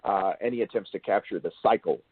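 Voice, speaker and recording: A man hosting an interview, asking long, wordy, bookish questions in a halting way. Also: fairly low pitch, husky, dry recording. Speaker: interviewer and show host. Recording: thin phone-like call audio.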